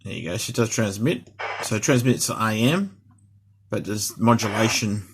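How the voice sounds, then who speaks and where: A man's voice: on the low side, normal speed, noisy background. A middle-aged man chatting casually through a radio unboxing and repair, rambling with fillers and restarts. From Australia. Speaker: hobbyist vlogger.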